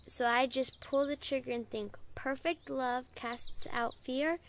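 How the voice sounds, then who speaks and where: A woman's voice: high voice, fairly even intonation, a little slow, a little hiss or hum. A woman playing an eager, unsure young girl trainee with a wide-eyed, questioning way in an audio drama. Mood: curious eager.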